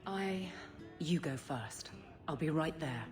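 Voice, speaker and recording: An actress playing a stern, awkward, lovesick guard captain in a video game, blunt and earnest, with a husky voice. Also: female voice, deep voice, animated, slow pace, over music. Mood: flustered. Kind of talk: video game character acting.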